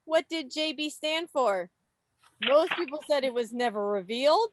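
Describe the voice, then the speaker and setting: Playful, high-voiced woman hosting a quiz. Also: moderate pace, noisy background. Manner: playful, expressive, lingers on names and answers. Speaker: quiz host at a club meeting. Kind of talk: animated quiz reading with casual asides. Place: online video meeting. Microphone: video call microphone.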